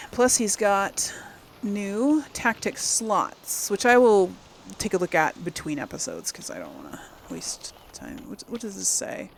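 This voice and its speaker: A woman with a creaky, mid-pitched voice talks through her game in a casual, chatty way. She thinks aloud as she goes. Some background noise sits behind her. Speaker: gaming channel host playing through a game. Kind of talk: casual let's-play commentary.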